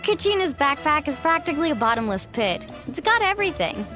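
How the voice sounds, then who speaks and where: A woman's voice: high-pitched, big pitch swings, brisk. A woman voicing a confident, playful, sisterly young female warrior in a video game. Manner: breezy, playful, self-assured. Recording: faint music behind. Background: faint music.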